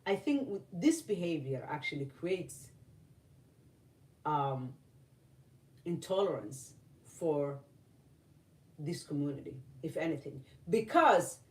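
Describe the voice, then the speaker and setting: A woman from Somalia, giving earnest opinion commentary to camera, halting with many fillers and restarts. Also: low-pitched, very animated, a little mellow, far from the mic. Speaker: vlogger. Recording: roomy, voice from off-mic.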